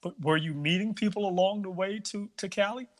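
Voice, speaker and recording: A middle-aged man from Barbados hosts a loose conversation. He talks in an animated way, jumps in eagerly, and often starts his sentences over. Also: high-pitched, a little fast. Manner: animated, interjecting, with restarts. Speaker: interview host. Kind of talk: casual interview chat.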